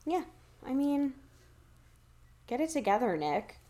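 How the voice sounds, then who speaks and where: A woman's voice: high-pitched, big pitch swings, slow pace, mellow. A woman co-hosting a podcast, chatting casually and informally about films, with some offhand swearing. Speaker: podcast co-host. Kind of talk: casual film discussion podcast chat.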